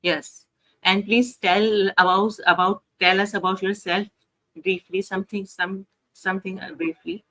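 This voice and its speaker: A woman's voice: medium pitch, steady tone, unhurried, a bit bright, rough recording. A woman from Pakistan, hosting an online interview show and speaking hesitantly with many fillers. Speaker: host. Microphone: video call.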